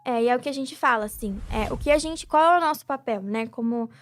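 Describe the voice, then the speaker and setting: A woman's voice: a little high, quick pace. A young Brazilian woman, guest and charity president, talking reflectively in a casual interview with many filler tags. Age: young adult.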